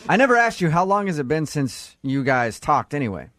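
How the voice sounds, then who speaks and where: A man's voice: on the high side, big pitch swings, a little fast, a bit bright. A man hosting a radio morning show in casual banter, with a teasing, jokey manner.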